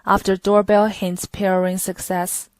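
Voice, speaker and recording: A woman's voice: medium pitch, level tone, unhurried, no room sound. A woman reading a scripted product tutorial in a stilted, step-by-step way. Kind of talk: scripted instructional narration. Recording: clearly noisy recording with clicks.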